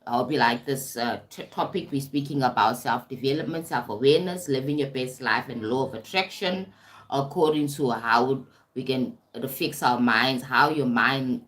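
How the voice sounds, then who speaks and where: A woman hosting a casual self-development livestream, chatty, often stumbling over words and checking in with viewers. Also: very deep voice. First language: English. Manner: warm-toned chatty, calls viewers "sweetie pie", frequent "right?" and restarts. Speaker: livestream host.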